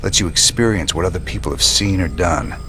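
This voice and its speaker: A man playing a gruff, weary space rebel commander in a video game, with a dry, folksy manner. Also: low-pitched, brisk, background noise, close to an everyday voice. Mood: wry, cautious. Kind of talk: video game cinematic acting.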